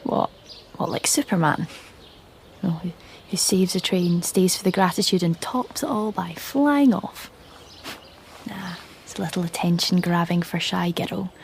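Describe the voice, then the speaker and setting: A woman's voice: lowish voice, expressive, unhurried, creaky, slight background noise. An actress playing a painfully shy, jobless young woman in a naturalistic radio drama, hesitant and stammering, with wry self-deprecation.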